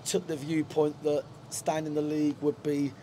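A man's voice: a little high, completely flat delivery, moderate pace, mellow, a little hiss or hum. A middle-aged man, a football manager, talking frankly in a post-match interview in a stadium dugout, with lots of fillers and restarts. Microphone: handheld reporter mic.

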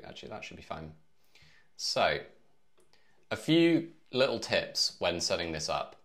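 A man in his thirties presenting a casual step-by-step software tutorial from a home office. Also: low voice. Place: small home office, indoors.

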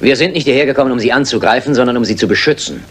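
A man acting a brisk, commanding, matter-of-fact detective in a German radio crime play. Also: mid-range voice, close to an everyday voice. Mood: insistent, assured. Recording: noisy old radio play recording.

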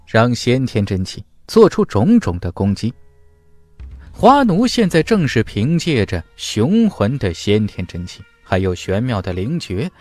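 Slow, highly animated male audiobook narrator. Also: no echo. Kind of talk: dramatic scripted fantasy narration. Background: faint music.

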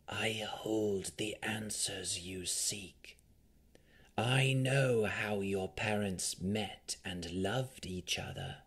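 Slow, whispering gravelly vampire spirit. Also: male voice, fairly low pitch, a bit bright, exaggerated voice. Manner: slow, drawn-out, ominous. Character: enigmatic tempting vampire spirit speaking in dreams.

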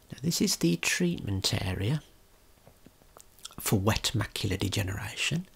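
A man giving a gentle, unhurried ASMR tour of a video game in a breathy, whispered, soft-spoken voice. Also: on the low side. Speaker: ASMR creator and tour guide.

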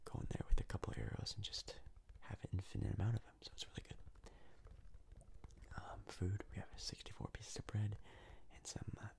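A man giving soft-spoken whispered ASMR game commentary in a breathy, husky voice, rambling hesitantly and repeating words. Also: lowish voice, big pitch swings, fairly quick. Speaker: gaming video host.

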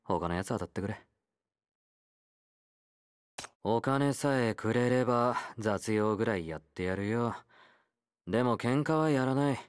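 A man's voice: mid-range voice, lively intonation, moderate pace, clear, resonant tone, no echo, natural voice. A male actor playing a laid-back young convict fighter in a game, blunt, teasing and nonchalant, with a drawl. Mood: bored, dismissive. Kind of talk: Japanese game character voice lines.

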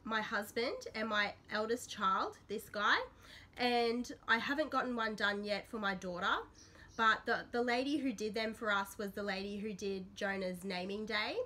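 A woman in her thirties, a little sick with a slightly croaky voice, chatting to camera in a small bedroom in a rambling way with frequent ums. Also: mid-range voice, moderate pace, bright, forceful tone. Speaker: substitute host of a witchcraft vlog. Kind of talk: casual to-camera vlog talk. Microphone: built-in camera mic at close range.

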